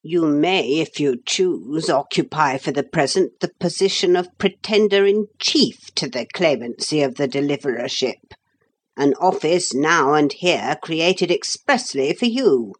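A woman's voice: deep voice, lively intonation, unhurried, slightly rough, full character voice. A woman voicing a solemn, formal male storybook leader with cold, pompous dignity. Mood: formal, measured. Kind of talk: storybook character voice.

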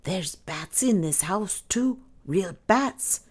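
A woman's voice: fairly low pitch, sing-song, measured pace, darkish tone, pitched above the performer's own voice. A woman voicing a superstitious, gloomy, stubborn old Irish maidservant with a dramatic, insistent delivery in an audiobook. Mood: fearful, gloomy. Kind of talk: audiobook character dialogue.

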